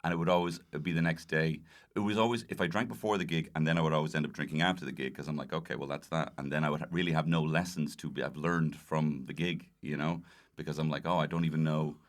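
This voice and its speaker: A man's voice: very deep voice, fairly even intonation, fast. A man chatting casually as a comedian guest on a podcast, rambling and joking at his own expense. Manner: rambling, jokey, self-deprecating. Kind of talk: casual comic conversation.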